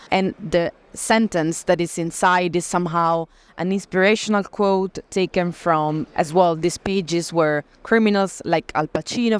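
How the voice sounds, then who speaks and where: A woman presenting artworks in an explanatory way at an art fair, often halting to search for the right word. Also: on the low side, clean tone, no room sound. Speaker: gallerist presenting an artist.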